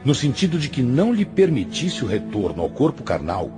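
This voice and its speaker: A man's voice: medium pitch, huge pitch swings, fairly quick. A man acting in a radio drama as a stern, patient spiritual master, speaking in a solemn, admonishing and didactic way. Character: stern, patient male spiritual master, grave moral counselor. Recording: music under the voice.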